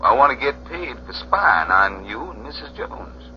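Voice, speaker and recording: An actor playing a sly, slangy hotel porter turned blackmailer in a 1940s radio crime drama, taunting and unhurried. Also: male voice, mid-range voice, very animated, close to an everyday voice. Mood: sly, menacing. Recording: muffled, hissy 1940s radio recording.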